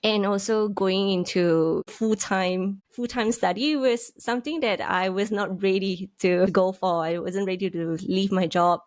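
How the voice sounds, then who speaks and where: A woman's voice: medium pitch, fairly even intonation, measured pace, hardly any pauses, no room sound. A woman in her thirties with a Singaporean English accent, a podcast guest explaining her career thoughtfully and often restarting phrases. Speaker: guest, UX manager. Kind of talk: podcast interview.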